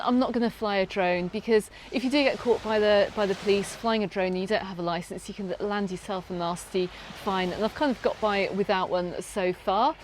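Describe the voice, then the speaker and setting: British woman, travel vlog host, upbeat and chatty, ums and restarts, mid pitch, outdoors with faint ambience. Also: a little hiss or hum, poor audio. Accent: British English. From Britain. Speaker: travel vlogger. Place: outdoors on a sunny beach, in a lifeguard tower.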